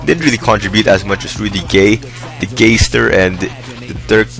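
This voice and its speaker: A young man talks through his gameplay in a low, gravelly voice that has gone hoarse from a long session. His commentary is loose and rambling but upbeat, and faint music plays underneath. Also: moderate pace, fairly bright tone. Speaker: gaming video host. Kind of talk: casual game commentary. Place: indoors, hot room.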